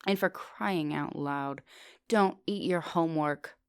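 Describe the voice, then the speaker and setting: Low, coaxing vampire professor played by a woman. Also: takes it slowly, clear, resonant tone, no echo, clean studio sound. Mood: brisk, stern. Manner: coaxing, polite, formal phrasing. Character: soft-dominant, coaxing female vampire professor, ancient but youthful. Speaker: teacher. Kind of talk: intimate ASMR roleplay.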